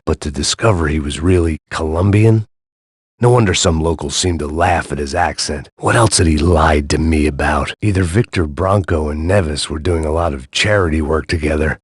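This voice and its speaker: An actor playing a weary, cynical hard-boiled ex-cop, delivering dry, sardonic inner monologue as video game voice lines. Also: male voice, very deep voice, moderate pace, breathy edge, dry recording. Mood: world-weary.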